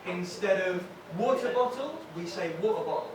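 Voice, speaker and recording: A man from England with a softened Brighton accent, doing a wry stand-up set in a comedy venue. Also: sits high, expressive, moderate pace. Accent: Brighton English, softened. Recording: echoey, distant, noisy room.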